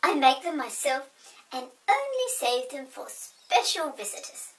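A woman's voice: sits high, very animated, unhurried, far from the mic, voice pushed up, low-quality recording. A woman voicing a small, fawning, over-polite male goblin in a children's storybook, gushing with courtesy.

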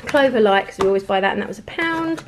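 A woman's voice: medium pitch, lively intonation, quick pace, a little hiss or hum. A woman in a casual, rambling grocery haul chat, laughing now and then while showing off her shopping at home. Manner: chatty, rambling, laughs often. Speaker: vlogger. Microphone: camera pointed at the table, speaker off screen.

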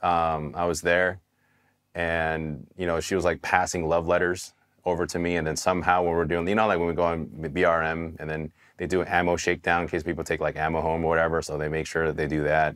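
A man in his thirties, from Taiwan and raised in California, telling his Army story in a candid, relaxed interview with casual swearing and frequent restarts. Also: deep voice, brisk. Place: dark studio set, indoors. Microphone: hidden mic, seated on camera.

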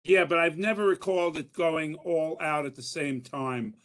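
A man's voice: fairly high pitch, fairly even intonation, moderate pace, a bit bright. A man asking questions in a video-call board meeting, hesitant with stumbling restarts.

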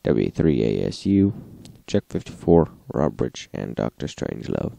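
A man with an extremely deep, heavily creaky voice reads log entries as a host. His tone is dark and mellow, his delivery plain and list-like, and the sound is close and dry. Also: sing-song, unhurried, dry recording. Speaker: host, radio log narrator. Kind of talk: scripted narration, reading logs.

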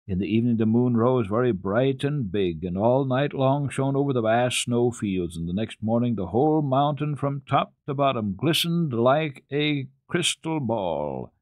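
A man giving an expressive, dramatic reading of classic children's literature as a radio storyteller, with deliberate phrasing. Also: medium pitch, no echo, clean studio sound.

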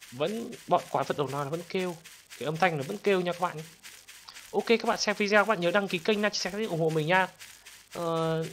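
A man hosting gameplay in an exclamatory, excited commentary style, addressing viewers like friends. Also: a little high, slight background noise. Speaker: gaming video host. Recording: loud game gunfire and clicks behind. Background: game gunshots, explosions and clicks.

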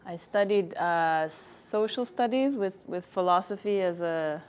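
A woman in her thirties, a filmmaker guest, answering interview questions in a thoughtful, slightly halting way. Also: on the low side, clean tone. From Egypt. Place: studio set with screens behind.

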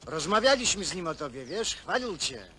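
An actor playing a scheming, cajoling stout brother, colloquial and needling, in naturalistic film drama. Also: male voice, a little high, very animated, normal speed, crowd noise. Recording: faintly noisy old film soundtrack.

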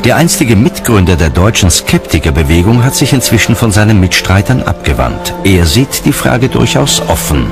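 A man's voice: on the low side, very animated, moderate pace, hardly any pauses, over music. A man reading scripted documentary narration as the narrator.

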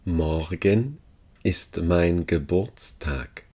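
A man working as a language teacher, reading short phrases deliberately and repeating each one for learners. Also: deep voice, mellow.

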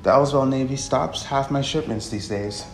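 A man voicing a friendly, plainspoken delivery woman in a visual novel, chatty and expressive. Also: mid-range voice, normal speed, vocal fry, lightly acted. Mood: breezy, teasing. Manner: expressive, animated. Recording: echoey room under music.

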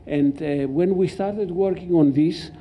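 An older man with a Greek accent giving an academic lecture as a professor, halting and explanatory, speaking into a microphone in a lecture hall. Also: on the high side, mellow. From Greece. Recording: dull, muffled lecture-hall recording.

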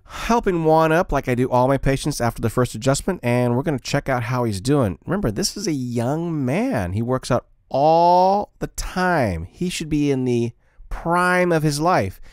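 A man's voice: medium pitch, animated, moderate pace, darkish tone, dry recording, studio quality. A man narrating an explanatory chiropractic video in a plain, informal voice-over style.

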